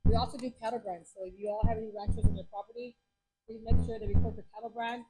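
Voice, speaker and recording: A woman, speaking loudly and emphatically as county clerk to a meeting of local officials. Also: on the high side, fairly even intonation, fast talker, over music, low-quality recording. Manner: loud, emphatic. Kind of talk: speech to a meeting.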